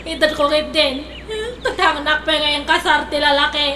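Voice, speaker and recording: A woman's voice: sits high, level tone, moderate pace, sharp tone. An actress playing an emotional single young woman who laughs and cries through her lines. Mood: dramatic. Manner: laughing, teary, dramatic delivery. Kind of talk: radio drama acting. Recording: echoey room with clear noise.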